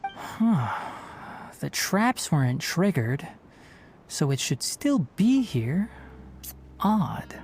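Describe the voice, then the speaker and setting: A man voicing a calm, stern young male forest ranger in a game, speaking in a measured, matter-of-fact, slightly dry way. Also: on the high side, noisy background, heavily acted. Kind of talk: game dialogue voice acting. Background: soft game music.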